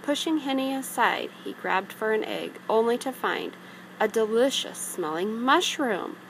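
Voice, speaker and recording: A woman's voice: on the high side, animated, measured pace, a bit bright. Woman reading a storybook over background noise. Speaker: storybook reader. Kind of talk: expressive scripted children's story narration. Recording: clearly noisy background.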